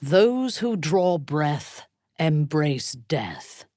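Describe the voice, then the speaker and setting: A woman playing an imperious, ruthless dark elf warrior in a video game, speaking in a haughty, deliberate, formal manner with cold menace. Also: deep voice, very animated, no room sound, studio quality, full character voice. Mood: dry, haughty.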